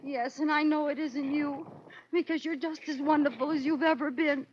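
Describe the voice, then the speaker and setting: An actress playing an excitable, scheming young housewife in an American 1940s radio comedy, with a melodramatic manner that makes much of small troubles. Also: female voice, sits high, moderate pace, natural voice. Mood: wailing distress. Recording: noisy, muffled old radio recording.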